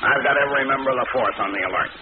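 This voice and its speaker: An actor playing a harried, exasperated police commissioner in a vintage radio drama, grumbling and blustering. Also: male voice, mid-range voice, very fast, lightly acted. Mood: worried, frustrated. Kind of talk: 1940s radio drama acting. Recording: noisy, muffled vintage radio recording.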